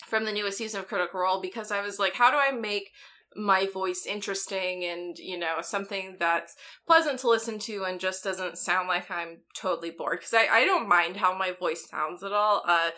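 A young woman with a bright, mid-pitched voice chats casually on a podcast. Her delivery leans monotone, and she rambles along with plenty of fillers in a relaxed way. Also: normal speed. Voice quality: somewhat monotone. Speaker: podcast player and co-host.

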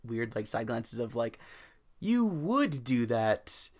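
A young man with a loud voice co-hosting a podcast, chatting casually, rambling with fillers and laughing often. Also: medium pitch, big pitch swings, slow pace. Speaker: podcast co-host. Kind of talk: casual chat.

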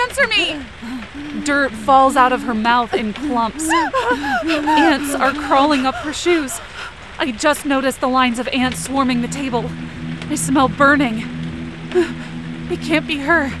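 An anxious young female camp counselor in an audio drama, her voice on the high side. She narrates in the first person with a tense, dramatic delivery, hurt and on edge. Faint rain and ambience sit behind her. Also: background noise, exaggerated voice. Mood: panicked, then frightened, urgent. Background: rain and outdoor ambience effects.

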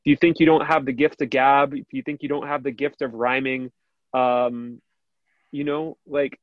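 A man with a high, nasal voice hosting a radio show, chatting casually and rambling with lots of fillers. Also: level tone, normal speed, no room sound, highs cut off. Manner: rambling, many fillers and restarts, laughs easily. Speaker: radio host. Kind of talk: casual interview chat.

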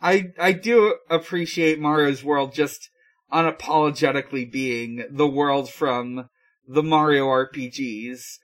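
A man hosting a podcast, giving a casual recap in a halting, hesitant way. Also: a little high, steady tone. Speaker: podcast host. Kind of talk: casual episode recap chat.

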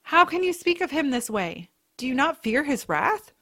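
A woman's voice: high voice, sing-song, moderate pace, a bit bright, exaggerated voice. Earnest, solemn wolf-tribe warrior woman, acted. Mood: reverent, firm. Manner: formal, earnest. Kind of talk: audio drama acting.

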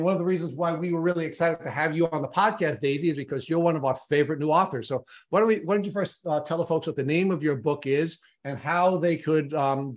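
A man hosting a podcast, introducing his guest enthusiastically and asking long, rambling questions. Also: a little high. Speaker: podcast host. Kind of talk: podcast interview.

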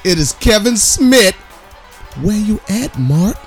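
A man's voice: high voice, lively intonation, slow, faint music behind. A man co-hosting a podcast, telling stories in casual, slangy, profane banter with a teasing streak. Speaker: podcast co-host. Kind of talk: casual joking banter and storytelling.

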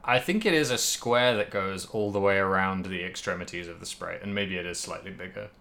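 Bright, lower-pitched man in casual chat. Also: a little fast, creaky. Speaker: podcast co-host. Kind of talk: casual video game discussion.

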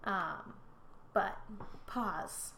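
A young woman co-hosts a casual true-crime podcast and speaks bluntly, throwing in swears and quick jokes. Her voice carries a gravelly fry. A faint hum sits under the recording. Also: medium pitch, pushed and bright. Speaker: podcast co-host.

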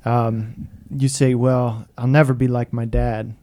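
Mellow-voiced man, teasing and rambling. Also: medium pitch, flat delivery. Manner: teasing, rambling, many vocal pauses. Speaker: podcast co-host, priest. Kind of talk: casual chat.

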